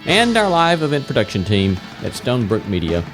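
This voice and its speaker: A man's voice: mid-range voice, huge pitch swings, brisk. A man hosting a podcast, reading scripted closing credits. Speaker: podcast host. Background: acoustic guitar music.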